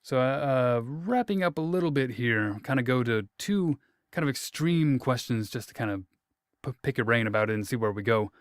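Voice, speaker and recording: A man from Indiana hosting an interview, asking questions in a relaxed, joking way. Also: mid-range voice, normal speed, smooth, no echo, studio quality. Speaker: interviewer, host.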